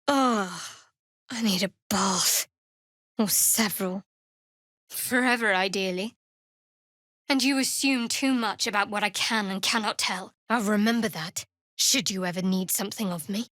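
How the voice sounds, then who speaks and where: A woman's voice: mid-range voice, a little slow, long pauses, fairly bright tone, dry recording, natural voice. A woman playing a guarded, sardonic half-elf cleric in a fantasy video game, with a dry delivery.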